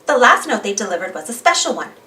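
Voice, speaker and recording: A woman reading a children's story aloud as an animated narrator. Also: fairly high pitch, fast talker, far from the mic. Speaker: storybook reader.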